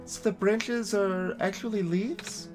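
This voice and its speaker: A man voicing a young, innocent game hero who speaks in a soft, wondering way. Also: high-pitched, takes it slowly, faint music behind, pitched above the performer's own voice. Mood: curious.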